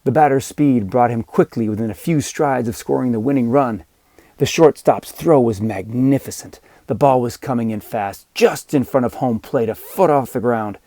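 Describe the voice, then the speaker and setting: A man narrating scripted fiction as an audiobook reader, with measured, dramatic storytelling. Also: mid-range voice, warm tone, no echo.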